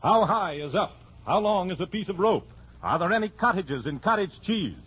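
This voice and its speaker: Casting: male old-time radio announcer, high voice over organ, muffled vintage sound. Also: expressive, moderate pace. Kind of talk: 1940s radio scripted announcing. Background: loud organ music.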